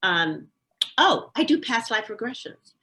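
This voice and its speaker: A woman's voice: a little high, sing-song, a little slow, pushed and bright. An older woman, an astrologer being interviewed, who talks in an emphatic, self-assured and talkative way. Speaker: astrologer guest. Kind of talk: casual interview conversation.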